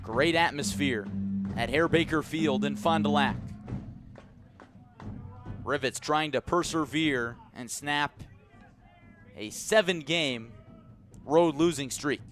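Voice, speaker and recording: A man doing live radio baseball play-by-play as the announcer, with excited calls on the big plays. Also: on the high side, takes it slowly, long pauses, faint music behind. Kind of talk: live sports commentary.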